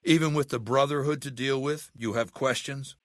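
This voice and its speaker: An imperious middle-aged warlord, acted by a man for a video game, with a mid-pitched voice recorded close and dry. He talks down to his listener deliberately, every phrase carrying command and menace. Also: steady tone, no room sound, close to an everyday voice. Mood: stern, commanding. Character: imperious middle-aged male dictator of a slaver legion. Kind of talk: video game character dialogue.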